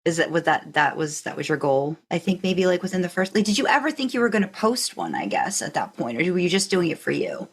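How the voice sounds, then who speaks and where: A woman's voice: lowish voice, sing-song, fast, few pauses, slightly rough. A woman interviewing a guest on a podcast in a casual, curious and encouraging way.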